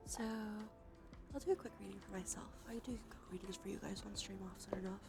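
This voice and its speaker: A woman streamer chatting softly in an ASMR stream, often dropping into a whisper. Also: medium pitch, fast, creaky. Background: music.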